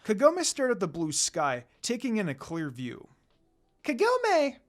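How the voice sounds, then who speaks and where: Woman narrating a story with lively pitch. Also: moderate pace. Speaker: fan fiction reader. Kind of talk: dramatic amateur story narration.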